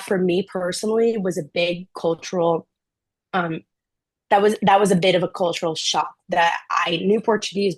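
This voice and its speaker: A young woman from Brazil, a former intern answering questions in a relaxed chat, full of "like" and "um". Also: on the low side, no echo. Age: young adult. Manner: chatty, frequent "like" and "um" fillers. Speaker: program alum, student. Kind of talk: casual Q&A answers.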